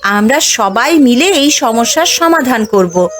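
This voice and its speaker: A woman's voice: a little high, animated, a little slow, fairly bright tone, faint music behind. A woman playing a caring, sensible village wife and peacemaker in a cartoon. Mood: resolute. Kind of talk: cartoon voice acting.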